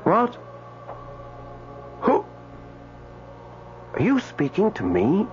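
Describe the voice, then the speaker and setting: An indignant man stranded after death, in an old radio play. His voice is on the high side and swings dramatically, with halting, pleading pauses. The sound is dull, with a faint hum. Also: wildly swooping pitch, exaggerated voice. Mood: hesitant, bewildered. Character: indignant, newly dead man stranded in limbo. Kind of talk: radio drama acting with first-person narration.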